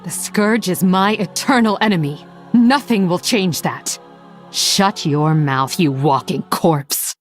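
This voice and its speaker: A haughty low-voiced elven rogue. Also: female voice, takes it slowly, soft background music. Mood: scornful, menacing. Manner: haughty, cutting, dry teasing. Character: proud, sardonic female blood elf rogue. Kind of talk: video game hero voice lines.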